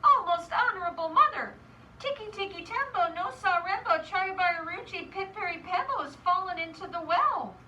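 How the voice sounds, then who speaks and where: Woman playing a frantic little boy, breathless, high-pitched, pleading, storybook character, distant mic. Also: expressive, pushed and bright, slight background noise, heavily acted. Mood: urgent. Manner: slow, laboured chanting of a long name.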